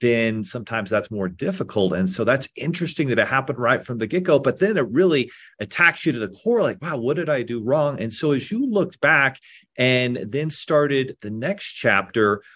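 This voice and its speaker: A man's voice: mid-range voice, lively intonation, normal speed, a bit bright, slightly rough. A man hosting an interview podcast, affirming his guest and asking questions with repeated words. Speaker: podcast host.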